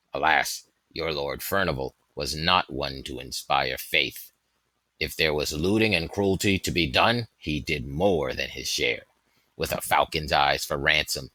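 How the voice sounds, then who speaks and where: Man acting a big, hearty, deep-voiced storybook rogue. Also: bright, forceful tone, no echo, exaggerated voice. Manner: hearty, declamatory, mocking. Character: big boisterous red-bearded bald jester and former soldier. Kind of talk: storybook character voice in audiobook reading.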